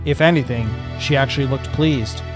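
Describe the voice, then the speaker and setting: Guy reading fanfic aloud, steady narration, music in the back. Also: medium pitch, moderate pace. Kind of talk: fan fiction reading.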